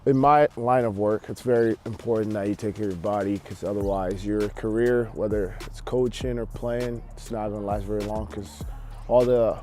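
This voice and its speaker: A man's voice: lowish voice, steady tone, normal speed, darkish tone, faint music behind. A man from Nigeria, a soccer player and coach, giving earnest, plain-spoken advice in interview sound bites.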